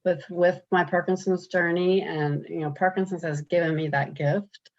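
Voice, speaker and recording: A woman with a deep, gravelly voice answers interview questions in an earnest way. She often stops and restarts her sentences. The sound is a little dull and muffled, as on a video call. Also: fairly even intonation, normal speed. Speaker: program participant.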